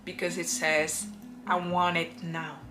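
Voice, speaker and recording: Unhurried woman over background music. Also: fairly low pitch, little pitch movement. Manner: unhurried, drawn-out phrasing with playful acted quotes. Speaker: perfume reviewer. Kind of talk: casual perfume recommendation vlog. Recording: music playing underneath.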